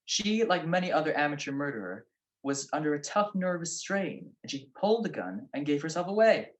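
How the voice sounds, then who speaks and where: A man's voice: fairly high pitch, sing-song, brisk. A man playing a shrewd, wry married private detective in a 1940s-style radio drama. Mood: smug, explaining. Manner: wry, teasing.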